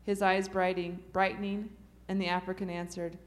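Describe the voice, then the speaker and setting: A woman reading a short story aloud to children in a steady storytelling manner. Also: fairly low pitch, a little fast. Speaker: story reader.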